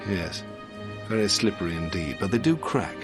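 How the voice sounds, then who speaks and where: An actor playing a suave, unflappable British intelligence agent in a video game, with a British accent and a polite, genteel, theatrically understated manner. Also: male voice, low voice, animated, normal speed, music in the background. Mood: smooth, courteous. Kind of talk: video game cutscene voice acting.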